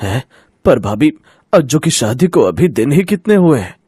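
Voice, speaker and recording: A man's voice: medium pitch, sing-song, moderate pace, warm tone, breathy edge, no echo, studio quality. A man playing a worried middle-aged husband and father in a cartoon story, fretful and emphatic. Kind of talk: Hindi cartoon moral story acting.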